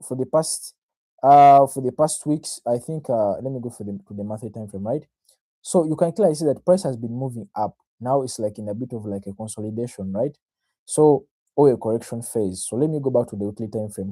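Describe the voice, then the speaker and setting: Ugandan man, Luganda native, very mellow dark tone, mid pitch, dry sound; confident, informal trading-stream explainer who repeats himself. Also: no echo. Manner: self-assured, addresses viewers directly, repeats phrases, checks in with "right?". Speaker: trader, livestream host. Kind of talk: informal live market analysis, explaining to viewers.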